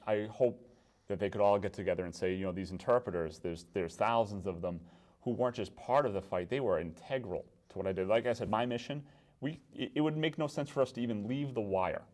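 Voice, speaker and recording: A man's voice: low voice, a little mellow. An American man speaking conversationally as an interview guest. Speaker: interview guest, military veteran.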